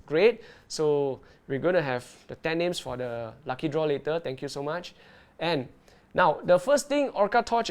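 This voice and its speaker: Lively man presenting on a livestream. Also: on the high side. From Malaysia. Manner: lively, keeps checking in with "right?". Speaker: underwater video presenter. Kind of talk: live-streamed tutorial and Q&A.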